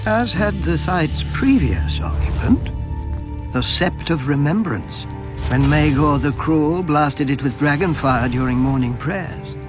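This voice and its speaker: A man narrating fantasy history with measured, dramatic storytelling. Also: a little high, animated. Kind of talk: scripted lore narration. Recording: music behind the voice.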